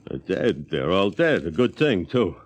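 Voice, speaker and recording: A man's voice: low voice, sing-song, a little slow, full character voice. An actor playing a crude, callous American spaceman in 1950s radio drama style, slangy and scornful. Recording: noisy old 1950s radio recording.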